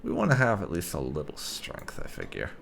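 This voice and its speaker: A man thinking aloud during a game playthrough, talking in a dry, wry and unhurried way. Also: low voice, huge pitch swings, vocal fry, a bit bright, a little hiss or hum. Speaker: gaming channel host. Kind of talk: casual gameplay commentary.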